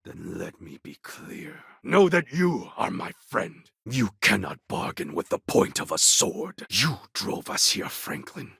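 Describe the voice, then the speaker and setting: Proud rebel warlord for a game: low, husky, grandly formal, swinging widely in pitch. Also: male voice, big pitch swings, pushed and bright, no echo, studio quality, exaggerated voice. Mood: defiant, threatening. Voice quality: gravelly, husky. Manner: grandiose, formal, deliberate phrasing. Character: proud defiant cloned warlord, impassioned rebel leader. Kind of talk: dramatic video game character acting.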